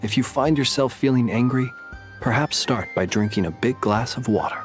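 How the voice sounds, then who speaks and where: An actor voicing a calm, teasing male lighthouse keeper in a game, speaking with dry humor and an unhurried manner. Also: mid-range voice, soft background music, natural voice. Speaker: game character.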